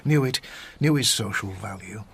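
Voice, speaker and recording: A courteous, reflective retired Victorian gentleman. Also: on the low side, lively intonation, normal speed, creaky, slight background noise. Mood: wistful. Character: genteel retired Victorian doctor, widower, courteous and wistful. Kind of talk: radio drama dialogue.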